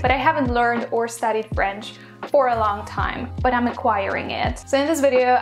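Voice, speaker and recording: A woman in her twenties from Poland, a native Polish speaker, teaching a language lesson straight to camera in an upbeat, explanatory way. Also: a little high. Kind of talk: direct-to-camera video lesson. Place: small bedroom, indoors.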